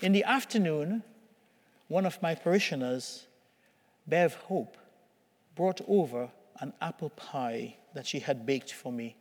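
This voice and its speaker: In a big reverberant hall, a man delivers a sermon at an unhurried pace. His fairly high-set voice carries a slight rasp, and he speaks with measured emphasis, leaving dramatic pauses between phrases. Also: lively intonation. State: slightly raspy voice from recent illness.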